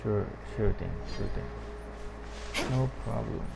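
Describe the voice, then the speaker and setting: A woman's voice: a bass voice, slow, gravelly, over loud music. A woman giving casual gameplay commentary in hesitant fragments, repeating herself. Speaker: gamer, video creator.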